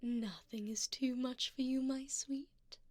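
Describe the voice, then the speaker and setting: A woman voicing a doting, seductive, wealthy vampire in an intimate ASMR roleplay, speaking in a breathy near-whisper close to the mic with tender, genteel endearments. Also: sits high, steady tone, slow, a little mellow, dry recording. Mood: doting, fond. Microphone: close binaural microphone.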